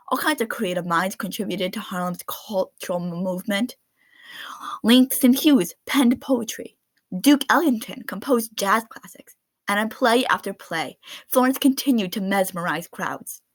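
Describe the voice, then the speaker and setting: A woman reading a children's picture book aloud in an expressive storytelling style. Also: mid-range voice, no room sound. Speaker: read-aloud narrator of children's books.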